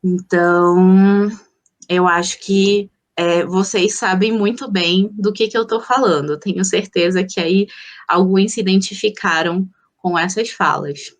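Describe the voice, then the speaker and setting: A woman from the Amazon, giving an informal, personal lecture and reflectively pausing to find her words. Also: medium pitch, fairly even intonation. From Colombia, grew up in Manaus, Brazilian Amazon. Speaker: guest lecturer, anthropologist.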